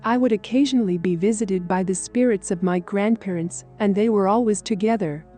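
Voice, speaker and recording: A woman's voice: medium pitch, fairly quick, warm tone. A woman reading a scripted first-person account of a paranormal experience as a story narrator. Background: music.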